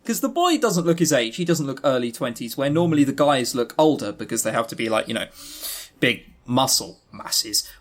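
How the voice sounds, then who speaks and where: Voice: medium pitch, lively intonation, a little fast. A podcast co-host chatting casually about games in an exasperated, emphatic, sweary way. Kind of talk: casual visual novel review chat.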